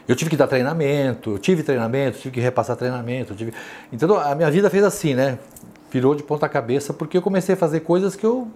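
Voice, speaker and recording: A man in his sixties with a São Paulo accent, recalling his working life in an interview, talking slowly with many restarts and tag questions. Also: mid-range voice, a little mellow, slight background noise. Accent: São Paulo Portuguese. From São Paulo, Brazil. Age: 60s. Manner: unhurried, hesitant, frequent tag questions and restarts. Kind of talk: reflective life-story interview.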